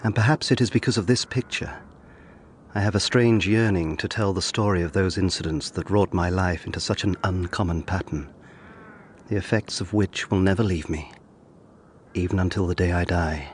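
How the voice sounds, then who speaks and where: An actor playing a reflective, haunted young lawyer, narrating a period audio drama in a measured, sombre way. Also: male voice, sits low, steady tone, a little hiss or hum, natural voice. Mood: haunted, wistful. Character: reflective, haunted young 18th-century lawyer recounting his past. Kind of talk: scripted first-person drama narration.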